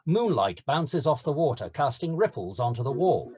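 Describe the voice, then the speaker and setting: A man's voice: mid-range voice, very animated, normal speed, a little mellow, breathy edge. A man narrating scene headings and stage directions from a script.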